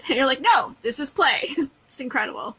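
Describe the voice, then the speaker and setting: A woman's voice: sits high, animated, moderate pace, a bit bright, husky. A woman hosting an interview show, greeting her guest with enthusiastic, effusive praise. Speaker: podcast host.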